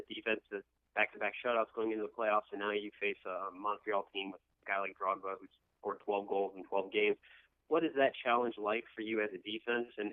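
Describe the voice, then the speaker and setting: A man's voice: fairly low pitch, no room sound. A man asking a conversational press question as a reporter over a telephone line. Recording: dry, narrow telephone line.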